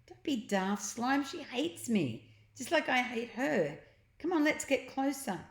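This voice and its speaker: A woman voicing an eager, mischievous young boy from a storybook in an animated, urgent way. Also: high-pitched, a little slow, voice pushed up, exaggerated voice. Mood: scornful. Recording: echoey, roomy home recording.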